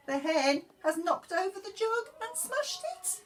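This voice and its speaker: Woman doing nursery storytime, high voice, super animated, takes her time, asks the kids questions. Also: unhurried, recorded from a distance. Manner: expressive, asking the listener questions. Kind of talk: animated picture-book reading for young children.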